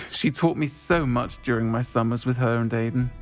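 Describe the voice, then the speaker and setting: An actor playing a kindly, reflective, grateful man in a video game, with a gentle, understated, articulate manner. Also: mid-range voice, moderate pace, faint music behind, natural voice. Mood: wistful, fond.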